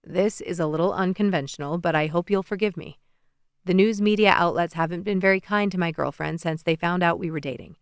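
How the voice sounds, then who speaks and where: A woman reading as a charming young man who is confident and teasing, pitched a little lower and kept soft. The delivery is smooth and close, with a dry sound. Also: a little fast, dry recording, close to an everyday voice. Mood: earnest. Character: charming young male president, confident, playful suitor. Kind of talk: audiobook character voice.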